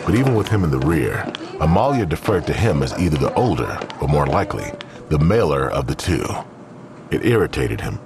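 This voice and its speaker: A man's voice: extremely low pitch, sing-song, brisk, music in the background. A man narrating a scripted crime audio drama as its storyteller.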